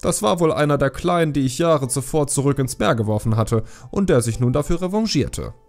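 A man voicing an excited fisherman who tells his tales in a colloquial, animated way for a fantasy audiobook. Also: a little high, fairly quick, faint music behind, pitched above the performer's own voice. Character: excited male fisherman recounting a wild sea escape.

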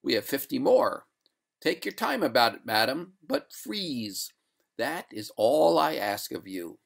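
A narrator playing a firm, coolly courteous gentleman in a storybook, formal and measured, with a quiet gloat. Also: medium pitch, big pitch swings, vocal fry, exaggerated voice. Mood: calm, cold.

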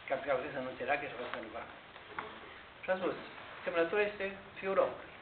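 An older man, a priest, preaching a sermon in a measured, explanatory way with pauses and restarts, recorded by a far camera inside a large church. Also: fairly high pitch. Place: large Orthodox church interior, indoors. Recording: tinny, echoey church recording with hiss.

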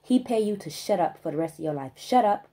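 Husky-voiced woman ranting emphatically. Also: medium pitch, animated, mellow. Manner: emphatic, profane, stressing single words with long pauses. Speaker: vlogger. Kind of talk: spiritual rant monologue.

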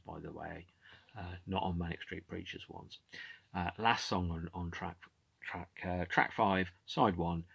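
Man, 40s, deep gravelly voice, husky, chatty album talk to a webcam at home. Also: dry recording. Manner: animated, gestures with hands, many fillers and restarts. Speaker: podcast host. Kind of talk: casual music commentary. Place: home room with shelves of CDs.

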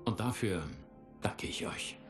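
Actor as slow, solemn military company leader. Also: male voice, lightly acted. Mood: solemn, fatherly. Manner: measured, oratorical. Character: commanding older male military corporate leader, solemn and persuasive. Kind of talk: German game dub, cinematic speech. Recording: clear music under the voice.

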